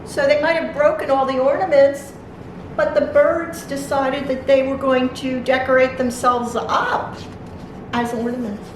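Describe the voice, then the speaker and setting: A woman's voice: sits high, flat delivery, a little slow. Woman reading to children, very echoey room. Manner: simple, explanatory, talking directly to young children. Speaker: library storytime reader. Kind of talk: reading a picture book aloud to children with asides. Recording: very echoey room, distant mic, noisy.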